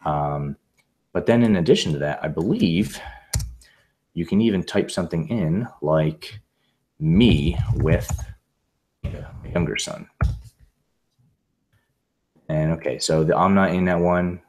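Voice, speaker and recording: A man's voice: low voice, slow pace, long pauses, a little mellow, a little hiss or hum. A man recovering from laryngitis, giving a casual, rambling tutorial over a video call with many fillers and restarts. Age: adult with a young son. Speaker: presenter demonstrating software. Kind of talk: casual screen-share tutorial.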